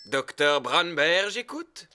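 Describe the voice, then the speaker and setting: A performer voicing a calm, kindly doctor bear in a children's cartoon dub, with a reassuring manner. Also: medium pitch, slow, heavy background noise. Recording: clear music behind.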